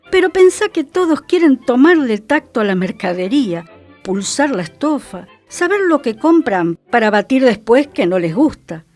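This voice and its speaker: A woman with a Buenos Aires accent gives a dramatic, expressive literary reading on the radio. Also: a little high. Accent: Argentine, Buenos Aires. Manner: expressive, theatrical storytelling. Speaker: radio host and reader.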